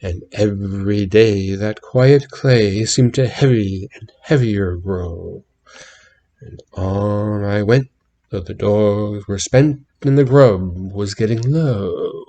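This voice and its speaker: Slow, mellow man reading dramatic verse. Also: fairly low pitch. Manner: theatrical storytelling with big swells and drops in intensity. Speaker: reader narrating a ballad.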